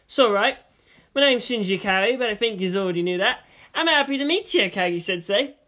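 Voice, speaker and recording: A woman's voice: mid-range voice, expressive, quick pace, a bit bright, pitched above the performer's own voice, natural voice. Woman voicing a polite, awkward teenage boy. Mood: polite, casual. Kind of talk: audiobook character voice.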